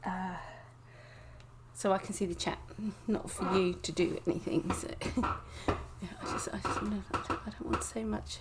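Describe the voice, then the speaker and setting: Croaky, giggly woman live-streaming. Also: medium pitch, steady tone, normal speed, very noisy. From England. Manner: chatty, giggly, greets viewers by name. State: croaky, sniffly with a cold. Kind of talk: casual live-stream chat. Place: home desk indoors.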